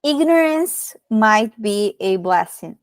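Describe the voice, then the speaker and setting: A woman in her thirties from Brazil with a Brazilian Portuguese accent, a podcast guest telling her story in casual, animated chat. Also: medium pitch, takes it slowly, smooth, no room sound, studio quality. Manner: many fillers and restarts, animated storytelling.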